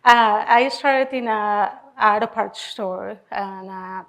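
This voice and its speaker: A woman's voice: medium pitch, slow pace, vocal fry. A middle-aged woman from Colombia, with a Colombian Spanish accent, speaking on a panel into a handheld mic with frequent fillers. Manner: plain, steady, with frequent fillers. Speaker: panelist, general manager. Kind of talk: panel talk. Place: event venue. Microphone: handheld microphone.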